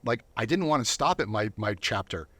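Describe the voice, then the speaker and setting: A man's voice: on the low side, expressive, a little fast, bright, forceful tone, no room sound. A man speaking as a guest in a casual studio interview, earnest and reflective, sometimes repeating words as he goes. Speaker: interview guest, volunteer Bible reader.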